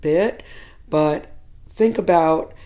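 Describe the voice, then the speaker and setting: A middle-aged woman with a hoarse voice, giving a measured instructional talk to teachers, pausing now and then. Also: low-pitched, mellow. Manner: measured, reflective, with pauses and fillers. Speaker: teacher educator.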